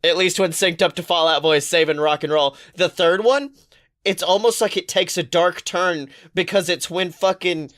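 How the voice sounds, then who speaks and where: A man co-hosting a podcast, chatting casually in an animated, rambling, profane storytelling manner. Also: high-pitched, normal speed. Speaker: podcast co-host. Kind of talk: casual pop-culture chat.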